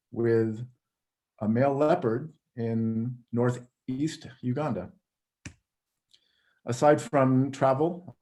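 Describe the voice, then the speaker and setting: A man's voice: medium pitch, a little mellow. A man hosting a video call, presenting a slideshow talk in a friendly, plain way. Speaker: travel photography company head, presenter.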